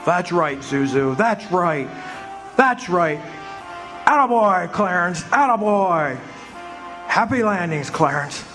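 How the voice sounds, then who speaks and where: A man's voice: high voice, slow pace, pauses often, music in the background. A man acting in a live community radio play, voicing an overjoyed, breathless husband and father. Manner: excited, breathless joy. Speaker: actor playing George Bailey.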